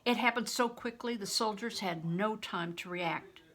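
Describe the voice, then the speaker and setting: An older woman reading a children's novel aloud as a storyteller, in expressive, deliberate audiobook narration. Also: medium pitch.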